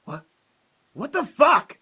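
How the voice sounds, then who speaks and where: A woman voicing a menacing, foul-mouthed male demon thug for a visual novel, raspy and gravelly, with a taunting drawl. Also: big pitch swings, slow pace, sharp tone, full character voice. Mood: furious. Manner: taunting, drawling, swinging wildly in pitch. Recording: music behind the voice.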